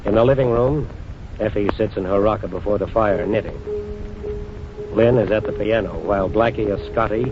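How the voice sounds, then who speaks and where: A man's voice: on the low side, moderate pace, close to an everyday voice. A man narrating a 1940s radio drama in a scripted style. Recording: muffled vintage radio recording, music behind.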